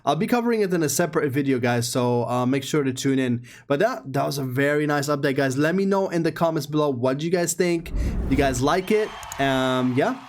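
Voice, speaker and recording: A man's voice: fairly high pitch, animated, a little fast. A man giving casual reaction commentary as a gaming YouTuber.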